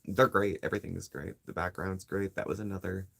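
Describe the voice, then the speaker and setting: A man with a low voice co-hosting a casual live stream from his living-room love seat, chatting into a phone and greeting viewers. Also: fairly quick, creaky. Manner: relaxed, chatty, reads out viewer names.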